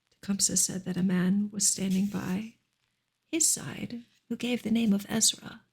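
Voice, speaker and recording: Soft asmr lady reading a story, super breathy n crackly, slips into whispers, slow n gentle. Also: medium pitch. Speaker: ASMR reader and streamer.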